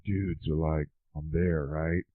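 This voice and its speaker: A man's voice: a bass voice, fairly even intonation, takes it slowly, dry recording, exaggerated voice. A man playing a clueless, foul-mouthed stoner dude who rambles in slang through a comic phone-in story. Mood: dazed rambling. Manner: slangy, rambling, says "dude" and "bro" constantly. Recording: tinny lo-fi telephone line.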